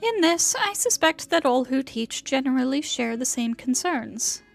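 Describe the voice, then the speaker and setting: Video game immortal inventor: high voice, formal, proud, motherly, lofty old-fashioned diction. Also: expressive, unhurried, creaky, faint music behind, exaggerated voice. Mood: thoughtful, advising.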